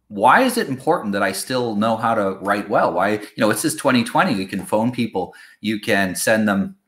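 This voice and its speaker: Male podcast host, kinda low voice, thoughtful, stumbles a bit, echoey room. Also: fairly bright tone. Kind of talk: interview questions. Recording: roomy echo.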